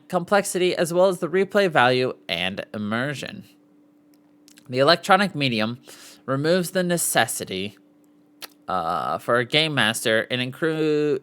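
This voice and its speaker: A man's voice: mid-range voice, fairly bright tone, smooth. A man hosting a casual live podcast, reading aloud and sometimes stumbling over the words. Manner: stumbles over foreign names, sounding them out. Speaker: podcast host.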